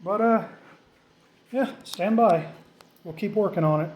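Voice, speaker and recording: A man's voice: high voice, unhurried. A middle-aged man casually narrating a hands-on tutorial as he works, thinking aloud in an informal, self-deprecating way. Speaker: DIY vlogger.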